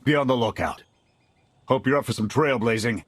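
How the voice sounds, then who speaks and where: A man's voice: mid-range voice, normal speed, husky, no echo, lightly acted. An actor playing a jaded male military commander in a video game, talking in terse, clipped soldier phrases. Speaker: squad commander. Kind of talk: video game cutscene acting.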